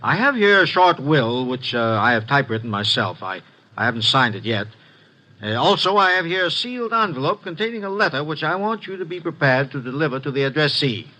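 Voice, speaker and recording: An actor playing a wealthy, secretive loan company president who speaks hesitantly, with many pauses, in a 1950s radio drama. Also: male voice, a little high, sing-song, pushed and bright. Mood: guarded. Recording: dull lo-fi old radio recording.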